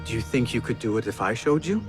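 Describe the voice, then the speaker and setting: An actor playing an earnest, kind and modest young assassin in a video game cutscene. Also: male voice, mid-range voice, expressive, quick pace, music in the background, lightly acted. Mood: gentle.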